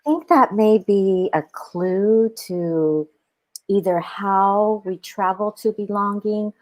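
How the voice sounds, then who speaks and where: A woman guest on a podcast, telling stories in a musing, reflective way with animated asides. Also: mid-range voice, slow. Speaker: podcast guest, spiritual companion. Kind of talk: reflective conversation.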